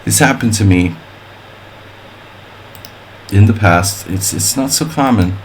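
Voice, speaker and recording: A man with a fairly low voice thinks aloud through a chess simul on his live stream, calling out moves between wry jokes and puns. A faint hum of room noise sits under his voice. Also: slow, low-quality recording. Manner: wry and offhand, chatting with viewers. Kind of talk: live-stream commentary while playing a simul.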